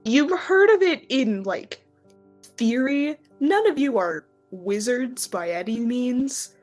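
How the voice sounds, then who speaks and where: A woman's voice: fairly high pitch, expressive, slow pace, darkish tone, soft background music. A woman casually narrating a tabletop role-playing game as game master.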